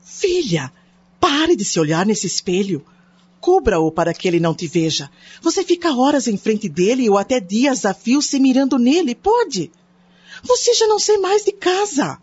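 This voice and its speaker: Uma atriz brasileira de radionovela interpretando uma mãe preocupada e angustiada, que suplica entre suspiros. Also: female voice, a little high, very animated, normal speed, darkish tone, breathy edge. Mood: pleading. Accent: Brazilian. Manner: anguished, sighing. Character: worried, distressed mother, anxiously pleading. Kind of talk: radio soap acting. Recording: dull, lo-fi radio drama recording.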